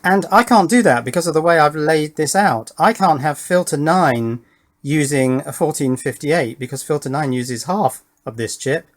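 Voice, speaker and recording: A man hosting a hobby project video, explaining technical details casually and thinking aloud in a deliberate, questioning way. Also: on the high side. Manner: puzzled, deliberate, self-questioning. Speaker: host of an electronics project channel.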